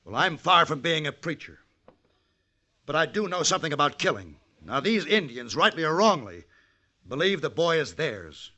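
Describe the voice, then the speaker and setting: An actor playing a calm, principled gunfighter in a vintage radio western, speaking in a measured, firm, articulate way. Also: male voice, a little high, lo-fi sound, exaggerated voice. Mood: earnest, persuasive.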